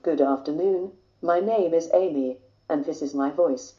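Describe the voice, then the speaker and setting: A synthetic female text-to-speech voice with a British accent, speaking in a flat announcer-like way. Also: deep voice, unhurried, roomy sound, dull audio. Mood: neutral.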